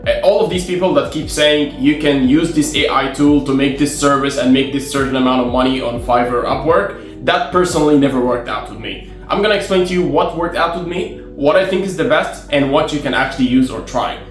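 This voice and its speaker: A man's voice: on the high side, a little fast, few pauses. A man whose first language is Arabic, talking casually to camera and sharing advice, with frequent restarts and repeated phrases. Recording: roomy echo with faint guitar music.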